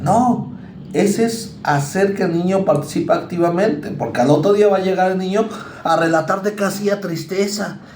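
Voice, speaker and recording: A male university lecturer with a Mexican accent, giving a conversational psychology class and acting out students and parents in quick asides. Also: sits high, a little mellow, roomy sound. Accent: Mexican Spanish.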